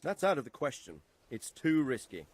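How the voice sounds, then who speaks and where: An actor playing a cautious, protective medieval nobleman in a video game, measured and firm. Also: mid-range voice, sing-song, dry recording. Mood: firm, concerned. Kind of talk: video game cutscene acting.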